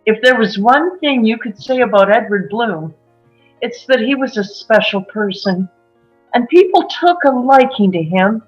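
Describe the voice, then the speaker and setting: A woman playing a guarded, wistful small-town woman who confides old secrets haltingly in a drama table read. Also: on the high side, animated. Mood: wistful, storytelling. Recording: muffled, music underneath.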